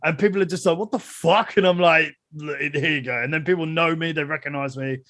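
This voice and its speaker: Animated podcast host, dry close sound. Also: male voice, a little high, brisk, no echo. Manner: animated storyteller, heavy swearing, laughs a lot. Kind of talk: casual chat.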